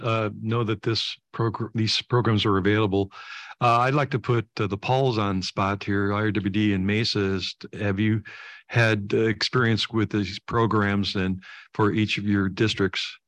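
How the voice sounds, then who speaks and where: A man's voice: lowish voice, fairly even intonation, normal speed, a bit bright, no room sound. A man speaking as a board director at a public meeting, politely asking questions with hesitations.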